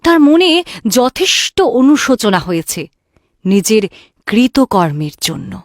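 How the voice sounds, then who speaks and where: A woman's voice: medium pitch, sing-song, unhurried, no echo, studio quality. A woman reading scripted narration for a children's fairy-tale story.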